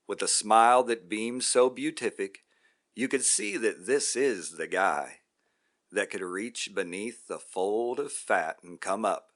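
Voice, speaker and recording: A man reads a narrative poem aloud, rhythmic and theatrical. His voice sits on the low side with a bright, forceful timbre, and he takes his time, unhurried. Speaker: poetry reader. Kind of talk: dramatic poem recitation.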